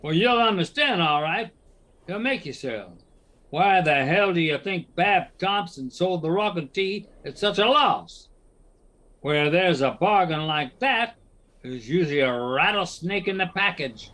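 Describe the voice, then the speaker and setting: A man's voice: high voice, animated, unhurried, bright, forceful tone, full character voice. A man playing a nervous, scheming townsman in a western audio drama, urging and wheedling in an American Western drawl. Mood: urgent persuasion. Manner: agitated, emphatic frontier drawl.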